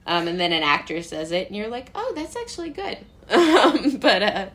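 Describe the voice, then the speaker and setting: A woman's voice: medium pitch, normal speed. Chatty American woman, guest on a podcast. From Brooklyn, United States. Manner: animated storytelling, acting out quoted remarks. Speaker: interview guest, playwright. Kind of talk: casual interview chat.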